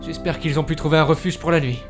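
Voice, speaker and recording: A woman's voice: deep voice, steady tone, fast talker, faint music behind, lightly acted. A woman playing an anxious young holidaymaker in an amateur audio drama, earnest, reproachful and indignant. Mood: worried.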